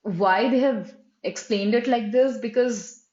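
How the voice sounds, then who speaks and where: Female reviewer, chatty screen-share commentary, mid pitch, echoey and dull recording. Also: unhurried, darkish tone. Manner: conversational, frequent "right?" and fillers, restarts. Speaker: website reviewer. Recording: roomy, dull lo-fi sound.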